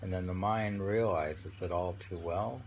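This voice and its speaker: Slow, low-voiced man with a gravelly creak. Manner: contemplative, pausing to muse between phrases. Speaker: poetry reader and commentator. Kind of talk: slow poetry reading with informal reflective commentary. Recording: amateur recording with faint background noise.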